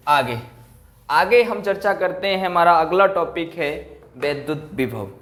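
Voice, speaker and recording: Male physics teacher, higher-pitched voice, repetitive classroom dictation with check questions, roomy echo. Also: animated, unhurried, a bit bright. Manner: repeats key phrases and asks check questions. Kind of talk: classroom lecture at a board, explaining and dictating. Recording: echoey room.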